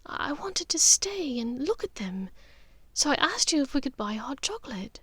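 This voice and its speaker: Audiobook role: warm witch, older sister female narrator acting, expressive, halting, raised pitch, dry sound. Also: no room sound. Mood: fond, nostalgic.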